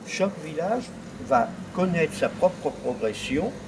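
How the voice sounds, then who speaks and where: A man's voice: a little high, very animated, slow pace, background noise. A man giving interview testimony as a local official, explaining step by step and stressing his key points. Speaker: former president of an intercommunal council, interviewed.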